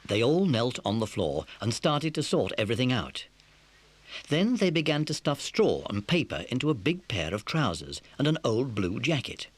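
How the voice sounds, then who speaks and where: A man narrating a scripted children's story as an expressive storyteller. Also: medium pitch, normal speed, dry recording.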